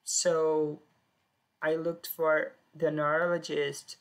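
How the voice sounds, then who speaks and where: A woman telling her own life story in plain, simple sentences with short pauses. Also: low-pitched, level tone. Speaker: personal storyteller. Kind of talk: first-person life story.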